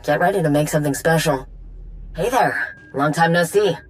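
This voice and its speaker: A woman's voice: low-pitched, moderate pace, lightly acted. A woman voicing a proud, practical, guarded warrior mechanic in a video game cutscene. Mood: guarded concern.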